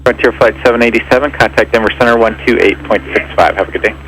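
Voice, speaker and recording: Fast-talking male air traffic controller on radio. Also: on the low side, fairly even intonation, fairly bright tone, rough recording. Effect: aviation radio. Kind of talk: clipped radio clearances. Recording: aviation radio with faint background noise.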